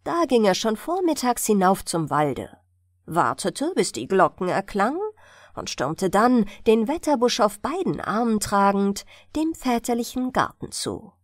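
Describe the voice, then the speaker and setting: Female audiobook narrator for bedtime fairy tales: unhurried, animated intonation. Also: mid-range voice, dry recording, clean studio sound.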